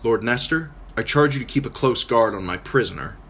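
A man voicing a sharp proud noblewoman. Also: medium pitch, lively intonation, brisk, husky, voice pushed up. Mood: formal.

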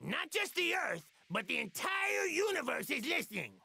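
An actor playing a jovial, pompous, eccentric otherworldly martial arts master, showy and pleased with himself, in an anime game. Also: male voice, extremely high pitch, wildly swooping pitch, normal speed, vocal fry, pushed and bright, no room sound, shouting, heavily acted. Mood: gleeful, grand. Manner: showy, self-satisfied. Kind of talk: English dub of an anime video game cutscene.

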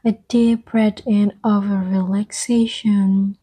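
A woman speaks softly in a slow, soothing ASMR meditation style. Her voice is mellow and breathy, often thinning toward a whisper. She leaves long, gentle pauses between short phrases. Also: medium pitch, level tone. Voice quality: soft-spoken, breathy, often slipping into whisper. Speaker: ASMR guide and host.

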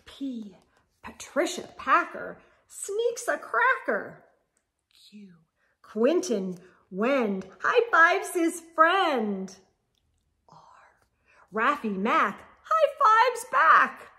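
A middle-aged woman reading a picture book aloud to children in an animated, sing-song storyteller manner. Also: high voice, very slow. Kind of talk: expressive children's read-aloud. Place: indoor room lined with bookshelves.

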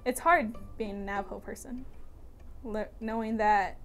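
A woman's voice: medium pitch, steady tone, measured pace, over music. A teenage girl in a documentary interview on a lapel mic, speaking earnestly and searching for words. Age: teens. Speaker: student interviewee.

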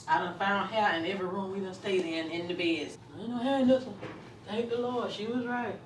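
A woman's voice: medium pitch, fairly quick, reverberant, distant mic, slight background noise, low-quality recording. A woman making short plainspoken remarks in a hotel room.

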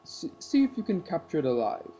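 Woman acting gruff commanding fairy-tale man, dropped low pitch, mellow tone, lively swings, storybook. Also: a little fast, a little hiss or hum. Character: commanding men of a fairy tale: king and hunters.

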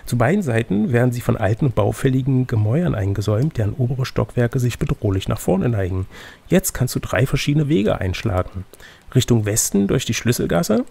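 Male game master, gravelly and slightly rough, casual storytelling with teasing asides. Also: mid-range voice, big pitch swings, a little fast, mellow. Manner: teasing, playful. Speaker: game master and reader of an adventure gamebook. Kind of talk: reading a gamebook aloud with casual banter.

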